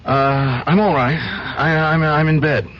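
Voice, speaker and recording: A man playing a troubled, guilt-ridden lawyer in a radio drama, speaking in a weary, halting, brooding way. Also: fairly high pitch, steady tone, noisy background. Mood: weary, evasive. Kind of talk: 1970s radio drama acting. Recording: dull old radio broadcast, faint music.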